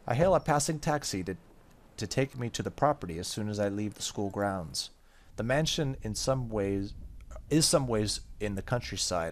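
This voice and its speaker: A man hosting a gaming video, reading visual novel narration aloud and now and then stumbling and rereading. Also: lowish voice. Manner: stumbles over text and rereads. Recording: faint background noise.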